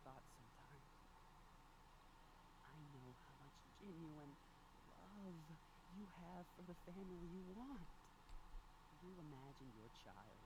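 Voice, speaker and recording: A man voicing a possessive, lovesick parasite in human form for a visual novel game, speaking in a halting, emotional, pleading way. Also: a little high, background noise.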